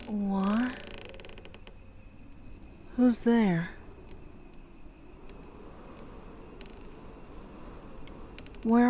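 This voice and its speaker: Woman acting a slow, guarded captive woman. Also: fairly high pitch, warm tone, a little hiss or hum. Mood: frightened. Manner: halting, guarded, old-fashioned formal. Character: wary, defiant enslaved woman bargaining with a demon. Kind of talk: podcast audio drama acting. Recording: dry amateur home recording.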